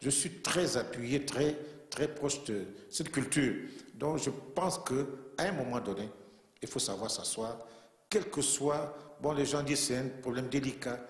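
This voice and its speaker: A man's voice: mid-range voice, measured pace. A man giving formal, earnest remarks as a panelist at a conference, from Central Africa. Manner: earnest, emphatic, repeats himself for weight. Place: conference room in a bank. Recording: echoey conference hall.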